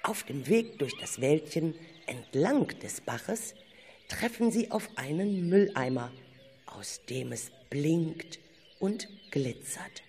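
A radio play narrator with a very animated, dramatic delivery. The scripted storytelling moves at an unhurried pace, over faint noise and ambience. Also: mid-range voice. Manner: dramatic storytelling, addresses listeners.

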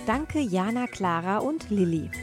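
A woman's voice: medium pitch, animated, unhurried, over loud music. A woman presenting on radio in scripted, news-style narration.

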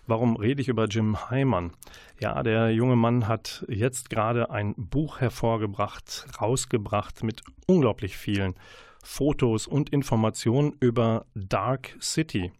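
A man's voice: lowish voice, darkish tone, no room sound, clean studio sound. A man hosting a radio show in a chatty, informal presenting style. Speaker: radio host. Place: radio studio.

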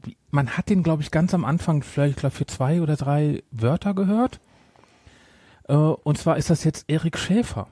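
A man's voice: fairly high pitch, moderate pace, mellow, slightly rough, no room sound, clean studio sound. A man hosting a podcast in a casual, rambling chat, often interrupting himself. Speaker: podcast host.

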